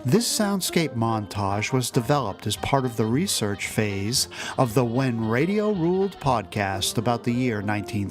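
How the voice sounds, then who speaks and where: A man hosting a podcast, reading a scripted introduction as narration. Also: medium pitch. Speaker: podcast host. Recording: voice over clear music.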